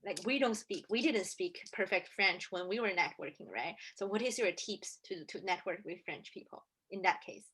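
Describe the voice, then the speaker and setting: A woman's voice: medium pitch, moderate pace, few pauses, fairly bright tone, breathy edge. A woman hosting an interview and asking her guests friendly questions. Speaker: host.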